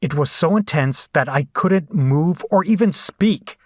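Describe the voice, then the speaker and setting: A man playing a frightened, battered captive in a horror audio drama, telling the ordeal in the first person with deliberate, dramatic delivery. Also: on the high side, no echo, clean studio sound. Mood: grim, haunted recounting. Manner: deliberate, dramatic storytelling with hesitant, pained dialogue.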